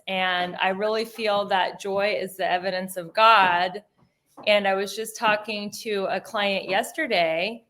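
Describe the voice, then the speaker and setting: A woman's voice: mid-range voice, a bit bright, slight background noise. A woman hosting an interview over a video call, chatty and enthusiastic. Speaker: interview host. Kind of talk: casual interview chat.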